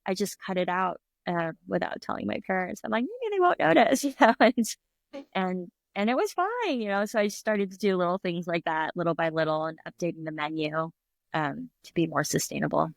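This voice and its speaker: A woman's voice: fairly low pitch, expressive, fairly quick, creaky, no echo. Middle-aged woman, chatty guest who laughs and mimics others, close and dry. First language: English. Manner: chatty, laughs often, acts out quoted voices. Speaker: restaurant owner, guest. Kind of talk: casual interview conversation.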